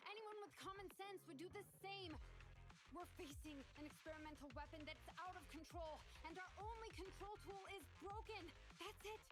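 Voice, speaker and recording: A jittery young woman engineer in a video game. Her voice is very high and she stumbles over her words while defending herself. A game score plays underneath. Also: normal speed, over music. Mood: defensive. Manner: stammering, defensive.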